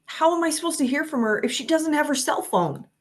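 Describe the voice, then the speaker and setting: A highish, urgent voice for a worried fiancee acted by a woman. The character is insistent and pressing, pleading a case in a plainly acted screenplay read. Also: lively intonation, fairly quick. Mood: indignant, worried. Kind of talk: screenplay table read acting.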